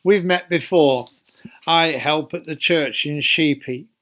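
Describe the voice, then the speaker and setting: Slow-speaking man reading a children's story. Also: fairly high pitch, fairly bright tone, low-quality recording. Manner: deliberate, addresses young listeners with questions. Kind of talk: read-aloud children's Bible story.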